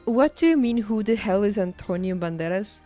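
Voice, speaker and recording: A woman chats casually as she streams a game, with an average pitch for a female voice. She talks to her viewers directly and playfully teases them. Faint music plays behind her. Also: expressive, moderate pace, dark tone. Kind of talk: casual livestream chat with viewers.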